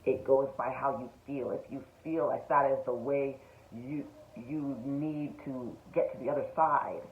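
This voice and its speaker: A woman vlogger speaks in an emphatic, conversational way, leaning on pointed questions. The recording is clearly roomy and echoey, with a thin, phone-like tone. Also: a bass voice, unhurried, slight background noise. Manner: emphatic, animated, rhetorical questions, frequent chuckles. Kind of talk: casual spiritual self-development talk to camera.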